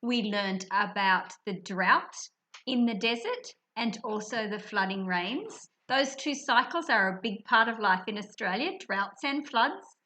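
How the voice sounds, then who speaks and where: Woman, high bright voice, expressive teacher, explanatory children's lesson, stressed key words. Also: unhurried. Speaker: teacher presenting a reading lesson.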